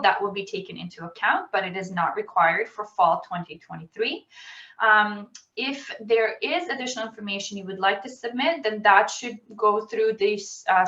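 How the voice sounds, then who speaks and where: A woman's voice: mid-range voice, a bit bright. A woman admissions officer explaining rules methodically in a webinar, with frequent ums, over a video call. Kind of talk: webinar presentation. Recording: roomy, distant, dull video-call audio.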